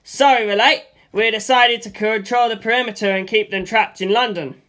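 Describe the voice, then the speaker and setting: Male squadron officer, jovial, confident, brisk, voiced by a reader. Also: medium pitch, steady tone, pushed and bright, voice pushed up, lightly acted.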